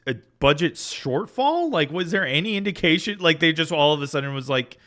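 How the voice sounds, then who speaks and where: A man's voice: fairly high pitch, lively intonation, fairly quick, fairly bright tone. A young man co-hosting a casual comedy podcast, reacting loosely, swearing and laughing. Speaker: podcast co-host.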